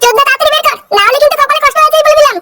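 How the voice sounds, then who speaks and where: A man voicing a bullying, hot-tempered father in a comic cartoon, ranting crudely in a squeaky, artificially raised pitch. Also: racing, very noisy. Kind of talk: exaggerated comic cartoon dialogue.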